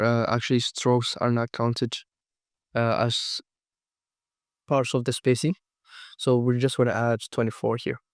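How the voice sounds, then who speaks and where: Guy with a cold doing a screen tutorial, monotone, clean voice, dry sound, lots of ums. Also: medium pitch, clean tone, no room sound. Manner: unhurried, thinking aloud with frequent fillers and restarts. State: has a cold, voice cracking. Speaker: design course instructor. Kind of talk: screen-recorded software tutorial.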